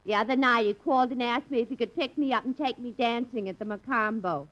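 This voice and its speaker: A woman's voice: on the high side, fast talker, lightly acted. A woman playing chatty wisecracking telephone operators in a 1950s radio comedy, with a brassy manner. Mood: gossipy. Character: two wisecracking female switchboard operators. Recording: lo-fi old radio broadcast with studio audience.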